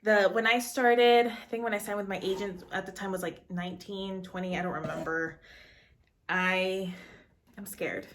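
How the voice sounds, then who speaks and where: A woman's voice: medium pitch, fairly even intonation, moderate pace, a little hiss or hum. A woman in her late twenties, an actor, chatting nervously and rambling with many fillers in a casual reaction vlog.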